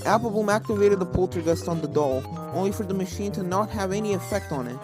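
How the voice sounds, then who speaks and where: A woman's voice: medium pitch, fast. A woman reading scripted fan fiction as a story narrator. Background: video game music.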